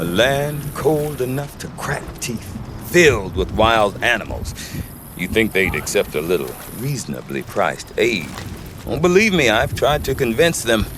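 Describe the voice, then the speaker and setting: An actor playing a folksy, wry middle-aged trader in a video game, chatty and given to colloquial sales patter and hesitations. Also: male voice, mid-range voice, noisy background. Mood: wry, exasperated. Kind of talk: video game character acting.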